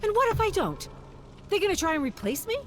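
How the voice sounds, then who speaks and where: A woman's voice: very high-pitched, sing-song, fast talker, pitched above the performer's own voice. A woman playing a defiant, wary female TV host, challenging and guarded, in a dramatic game story reading. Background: music.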